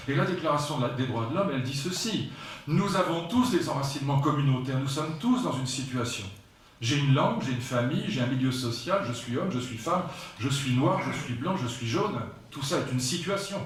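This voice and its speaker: A man in his sixties from France giving a public philosophy lecture, talking in a digressive, emphatic way with asides to the audience. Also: medium pitch. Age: 60s. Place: lecture hall. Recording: very echoey hall, voice picked up from far off.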